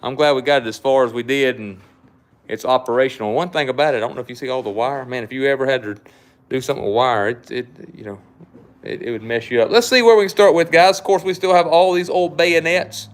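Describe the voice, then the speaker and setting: A man's voice: medium pitch, quick pace. A man from South Mississippi with a Southern accent, hosting a casual livestream, rambling and repeating words as he reads viewer comments. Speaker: livestream host, knife shop owner.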